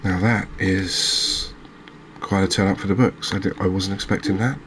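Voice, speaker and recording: A man giving soft-spoken, calm and gentle ASMR commentary over a video game at an unhurried manner. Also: sits low, background noise.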